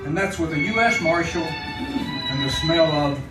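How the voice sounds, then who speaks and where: A man's voice: fairly high pitch, a little slow. A man with an American accent as a dramatic, declamatory announcer of 1950s radio. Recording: echoey, distant old radio recording. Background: loud music.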